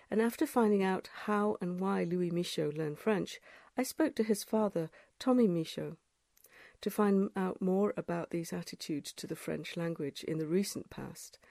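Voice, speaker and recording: A woman's voice: mid-range voice, vocal fry, warm tone, no echo. A woman presenter reading scripted documentary narration, close to the microphone. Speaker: presenter, interviewer.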